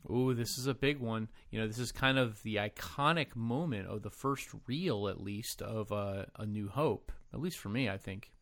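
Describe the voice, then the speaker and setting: A man's voice: fairly low pitch, moderate pace, hardly any pauses, a bit bright, no room sound. A man chatting casually about films as a podcast co-host, playful in a mock-serious way. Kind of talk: casual film discussion.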